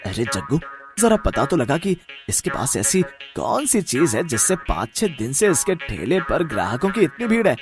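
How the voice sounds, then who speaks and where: A man's voice: mid-range voice, very animated, moderate pace, over music. Man doing muttering, envious village schemers. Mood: envious, suspicious. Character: envious, scheming rival sweet-shop owner and his sneaky servant. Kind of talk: Hindi animated moral story voice acting.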